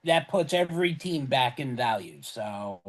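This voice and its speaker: A man co-hosting a casual sports podcast, rambling and correcting himself, his voice going a little hoarse. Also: mid-range voice, animated, a little slow. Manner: rambling, self-correcting, chatty. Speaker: podcast co-host.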